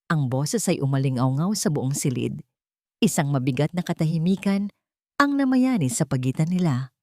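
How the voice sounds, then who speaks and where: Female storyteller, lowish voice, dramatic scripted narration, dry studio-like sound. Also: warm tone, no echo.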